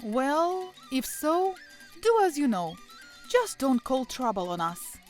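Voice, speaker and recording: A timid young bride from a storybook cartoon. Her voice is high and very animated, fretful and easily cowed, over light music. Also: slow pace, exaggerated voice. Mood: reluctant. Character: timid young bride afraid of the old woman. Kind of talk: cartoon fairy tale voice acting.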